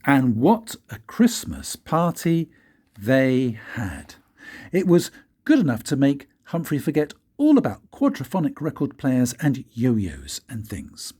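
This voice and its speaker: An older man reads a children's story like a grandfather, in an expressive, deliberate way with dramatic pauses and a husky voice. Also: mid-range voice. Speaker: grandfather reading stories for his grandchildren.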